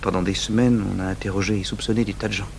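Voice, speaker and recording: Actor as halting, troubled young man, noisy recording. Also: on the low side, steady tone, darkish tone, natural voice. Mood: haunted. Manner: halting, with stammered restarts. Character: troubled 27-year-old clerk confessing, anguished and bitter. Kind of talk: 1980s French-language radio drama acting. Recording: noisy humming old radio tape.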